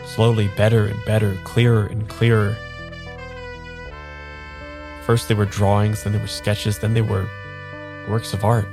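Audio drama lead for a male actor: apologetic, uneasy man with no memory, lowish voice, halting delivery, music bed. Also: level tone, natural voice. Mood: quiet wonder. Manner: halting, repeats himself, hesitant. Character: uneasy amnesiac man, apologetic and wistful, narrating his search. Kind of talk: improvised audio drama, first-person narration and dialogue.

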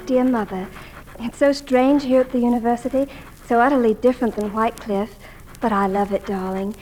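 A woman's voice: on the high side, steady tone, brisk, over music, close to an everyday voice. A woman playing an eager, affectionate young university student, effusive and girlish, in 1940s radio drama style. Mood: cheerful, excited. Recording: vintage 1940s radio, long reverberant hall sound.

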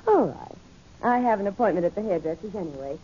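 An actress playing an affectionate young woman posing as a wife, cooing smoothly in an old radio drama. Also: medium pitch, expressive, fast, dark tone, natural voice. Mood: sweetly coaxing. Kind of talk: 1940s radio acting. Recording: muffled, noisy old radio recording.

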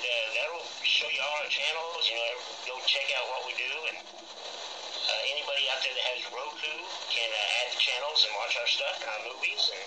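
A Texan-accented man with a mid-range voice, speaking as an interview guest. He talks earnestly and at length, building his points. Heavy background noise sits under his voice. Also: medium pitch. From Texas. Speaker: guest, film channel owner. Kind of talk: casual interview chat.

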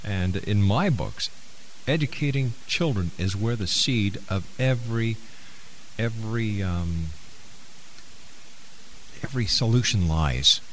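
A man's voice: fairly low pitch, expressive, slow, pauses often. A man hosting a radio talk show, conversing with a guest and repeating phrases for emphasis. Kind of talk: interview conversation. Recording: faintly noisy radio interview audio.

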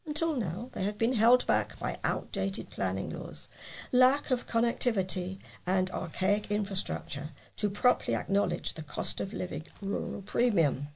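Woman, very gravelly fry, husky tone, read-aloud local news, volunteer reader. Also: medium pitch, expressive, moderate pace, a little mellow, poor audio. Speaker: volunteer newsreader.

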